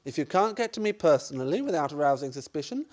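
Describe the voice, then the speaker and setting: A wry, scheming son-in-law in a comic radio play, acted out by a performer. The delivery is theatrical and exclamatory, leaping up and down in pitch with sardonic relish. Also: sing-song, fast talker, a little mellow, natural voice. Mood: conspiratorial. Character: wry, scheming son-in-law who scorns his mother-in-law. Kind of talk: stage-comedy radio play acting.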